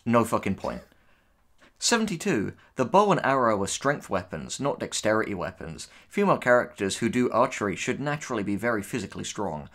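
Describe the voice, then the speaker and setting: A man's voice: fairly low pitch, big pitch swings, brisk, a bit bright. Sarcastic man in casual commentary. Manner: sarcastic, sweary. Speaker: co-host, writer. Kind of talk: casual reaction commentary, reading posts aloud.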